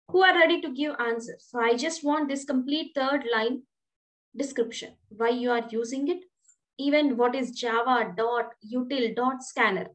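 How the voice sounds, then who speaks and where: A woman's voice: high-pitched, fairly bright tone, low-quality recording. A woman teaching programming in an online class, explaining step by step and asking the students questions. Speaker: programming instructor. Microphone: webcam on a video call.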